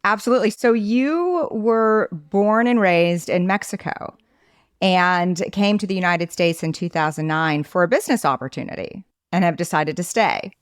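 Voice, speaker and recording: A woman hosting a podcast interview, asking measured, upbeat questions. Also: fairly low pitch, clean tone, no echo, studio quality. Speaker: podcast host.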